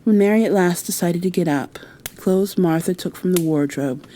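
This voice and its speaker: Mellow low-voiced woman reading a bedtime story. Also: normal speed, a little hiss or hum. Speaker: narrator, ASMR storyteller.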